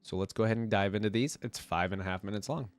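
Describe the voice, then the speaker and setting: A man's voice: fairly low pitch, fast talker, no echo. A man giving casual, rambling fan commentary as a reaction video host, his voice nasal and congested from a cold. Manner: rambling, hedging, informal.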